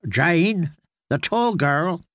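A man's voice: a little high, expressive, extremely slow, fairly bright tone, no echo, clean studio sound, voice pushed up, heavily acted. A man voicing a wary, wounded woman knight who speaks in curt, blunt demands.

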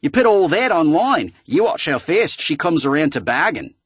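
A man's voice: on the high side, very animated, normal speed, husky, no room sound, pitched above the performer's own voice. A man voicing a female text-to-speech phone voice with a crappy Australian accent, taunting and mechanical. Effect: phone call.

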